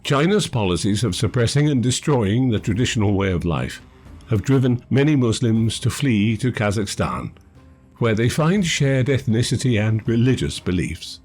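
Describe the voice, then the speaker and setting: A man reading scripted documentary narration in a measured, formal manner. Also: medium pitch, expressive, darkish tone. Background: background music.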